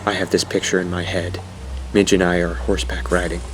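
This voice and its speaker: Man playing an obsessed, lovesick young man, low-voiced. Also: flat delivery, fairly quick, noisy background, close to an everyday voice. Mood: brooding. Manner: brooding, desperate, obsessive. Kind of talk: audio drama acting.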